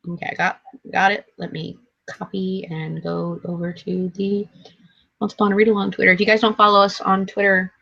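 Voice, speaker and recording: A woman's voice: medium pitch, flat delivery, a little fast, gravelly, a bit bright. A tired woman who is losing her voice, co-hosting a casual live book chat in a rambling, hesitant, self-correcting way. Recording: dull lo-fi stream audio.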